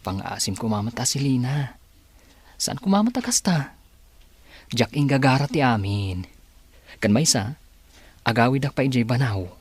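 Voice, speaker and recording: A man's voice: mid-range voice, expressive, normal speed, pauses often, breathy edge, dry recording. An actor with an Ilocano accent playing a hesitant, respectful young man in love in a radio drama. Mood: hesitant, polite.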